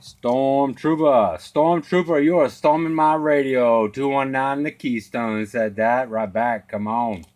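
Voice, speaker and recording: A man's voice: mid-range voice, slow pace, hardly any pauses. A man working as a CB radio operator, calling out call signs and slang in clipped, repetitive radio patter. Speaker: CB radio operator making contacts. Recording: noisy CB radio, static behind. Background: radio static and noise.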